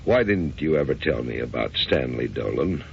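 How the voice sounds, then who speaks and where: An American man playing a troubled, insistent husband and narrator in an old-time radio drama, with a gravelly voice. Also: animated, normal speed, heavily acted. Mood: probing, insistent. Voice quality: very deep, gravelly. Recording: dull, noisy old radio recording.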